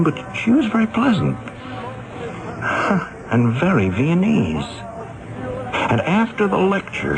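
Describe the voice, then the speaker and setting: An actor playing a troubled, lonely scientist, hesitant and brooding, in a 1970s radio drama. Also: male voice, mid-range voice, low-quality recording. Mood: reminiscing. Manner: hesitant, brooding, searching his memory. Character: troubled, lonely, self-doubting male scientist. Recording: vintage radio drama with music behind.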